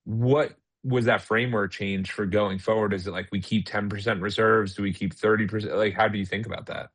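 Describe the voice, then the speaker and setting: A man's voice: on the low side, fairly even intonation, brisk. A man in his late twenties hosting a podcast, asking casual questions full of filler words. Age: 20s. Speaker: podcast host.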